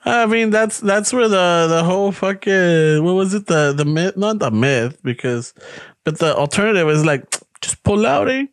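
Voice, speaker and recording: A man's voice: high-pitched, lively intonation, normal speed, dry recording, studio quality. Hoge stem, man van midden veertig. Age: 40s. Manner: swearing, rambling, says "like" and "dude" a lot. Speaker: podcast co-host. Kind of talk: casual profane chat, mixing English and Spanish.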